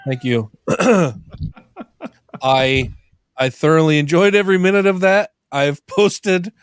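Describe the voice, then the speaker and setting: A man hosting a casual podcast, hoarse and stuffy from laughing, rambling with many restarts and giggles. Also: a little high, slow, dry recording, clean studio sound. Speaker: podcast host.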